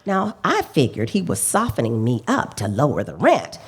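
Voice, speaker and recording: A woman's voice: rumbling low, wildly swooping pitch, normal speed, husky, exaggerated voice. A woman playing a fussy, talkative landlady in a radio drama, needling and pert.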